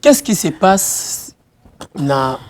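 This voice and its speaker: A man's voice: on the high side, very animated, drawn-out words, darkish tone, slight background noise. A man interviewing a guest with an urgent manner and hesitant restarts. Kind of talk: interview, mixing French and Lingala.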